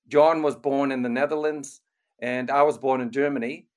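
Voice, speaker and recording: An older man raised in Canada, an author and criminologist, giving measured, explanatory interview answers. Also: mid-range voice, fairly even intonation, darkish tone.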